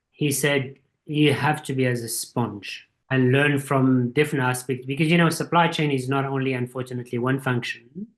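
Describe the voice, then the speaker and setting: Guy in his 40s, mid-pitched voice, talks things through slow and thoughtful. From Morocco. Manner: thoughtful, explains at length. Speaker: guest, supply chain executive. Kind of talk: casual interview.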